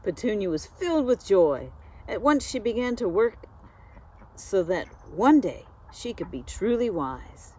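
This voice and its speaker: Slow, animated woman reading a storybook. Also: medium pitch, a little hiss or hum, low-quality recording. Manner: expressive, dramatic pauses. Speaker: school media specialist reading aloud. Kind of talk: children's storybook read-aloud.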